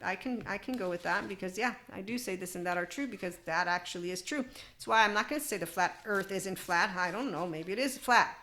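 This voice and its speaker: Bright-voiced woman rambling spiritual self-help. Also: medium pitch, fairly quick. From United States. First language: English. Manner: loose and digressive, frequent laughter. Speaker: podcast host.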